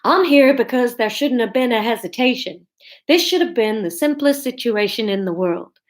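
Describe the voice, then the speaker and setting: A woman's voice: a little high, expressive, moderate pace, lightly acted. A woman voicing an earnest, questioning male deity in a story, slightly dim-sounding, with a made-up, vaguely Canadian accent. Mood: earnest, grave. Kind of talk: audiobook-style character dialogue.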